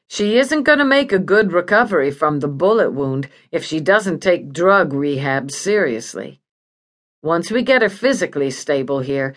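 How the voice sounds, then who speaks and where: A woman voicing a brisk, stern female hospital ward head in audiobook dialogue, firm and matter-of-fact. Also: fairly low pitch, lightly acted.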